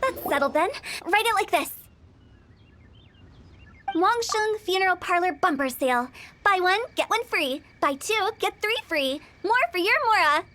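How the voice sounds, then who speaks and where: A woman's voice: very high-pitched, lively intonation, measured pace, pushed and bright, slight background noise, heavily acted. A woman voicing a mischievous young female funeral parlor director in a video game, playful and teasing with spooky asides.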